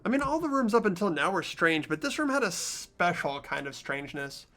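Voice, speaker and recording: A man's voice: high-pitched, very animated, a little fast, sharp tone, full character voice. A man voicing an earnest, anxious teenage boy who narrates a mystery in an amateur game dub. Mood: uneasy. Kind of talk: amateur voice acting of a video game.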